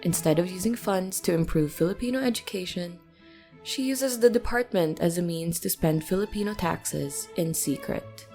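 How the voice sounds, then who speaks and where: A woman presenting a scripted news commentary segment on a podcast in a measured, articulate reading. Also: fairly low pitch, dark tone, faint music behind.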